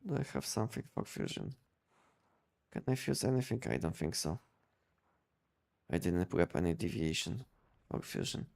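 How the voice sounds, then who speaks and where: A man chatting casually on a game livestream, explaining things in a halting, repetitive way. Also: deep voice, big pitch swings, gravelly, darkish tone. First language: not English. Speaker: game live streamer.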